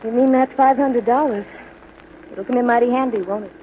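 A woman acting a gentle, anxious ranch wife and mother in a 1940s radio drama. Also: on the high side, steady tone, a little fast, slight background noise, natural voice, low-quality recording. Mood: pleased. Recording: vintage 1940s radio recording.

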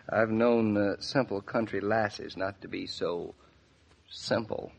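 An actor playing a genial, teasing young husband with an American accent and wry, easygoing banter, in 1940s radio style. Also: male voice, fairly low pitch, steady tone, takes it slowly, vocal fry, a little hiss or hum. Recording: dull vintage radio recording.

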